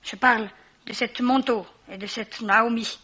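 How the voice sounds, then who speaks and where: A nervous, embittered foreign woman living in a hotel. She speaks in a fairly high voice, brusque and agitated, in a radio mystery play with a muffled, lo-fi sound. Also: fairly even intonation, unhurried, sharp tone, breathy edge, heavily acted. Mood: bitter, grieving.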